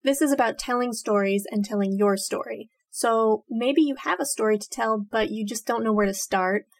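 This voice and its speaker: A young adult woman co-hosting a youth podcast, earnest and upbeat. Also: medium pitch. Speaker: podcast co-host, storyteller.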